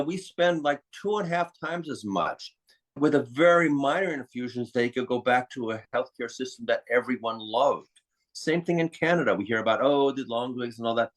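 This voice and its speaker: An American man talking conversationally as an interview guest, explaining things in an opinionated way. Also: medium pitch. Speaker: interview guest, psychiatrist and author.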